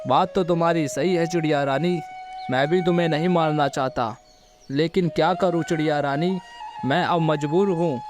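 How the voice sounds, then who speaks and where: A man voicing a treacherous, hungry male vulture in a children's cartoon story, gloating with drawn-out laughs and hums. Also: fairly high pitch, natural voice. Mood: menacing, hungry. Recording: loud music bed.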